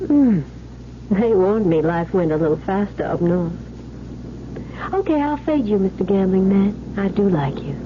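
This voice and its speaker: A woman's voice: medium pitch, lively intonation, a little slow, close to an everyday voice. A woman acts a frank young belle with plenty of sass in a vintage radio play. The recording is dull, with noticeable noise. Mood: sassy, candid. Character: frank, sassy young woman raised poor down south. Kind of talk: 1970s radio drama acting.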